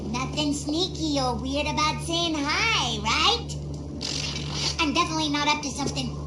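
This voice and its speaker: A woman voicing a feisty, eccentric young female badger in a cartoon-style game, excitable and quirky. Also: high voice, moderate pace, reverberant, very noisy. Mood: sneaky, falsely casual. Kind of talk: animated game cutscene acting.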